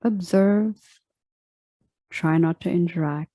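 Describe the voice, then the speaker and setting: A woman leading a guided meditation as a teacher, speaking in a soft, deliberate, instructional way. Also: on the low side, no echo.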